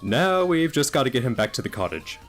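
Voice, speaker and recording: A man's voice: medium pitch, big pitch swings, a little fast, fairly bright tone. A man voicing a ruthless, scheming animal rebel in a cartoon parody, blunt and conspiratorial, with music behind him. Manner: blunt, conspiratorial, foul-mouthed.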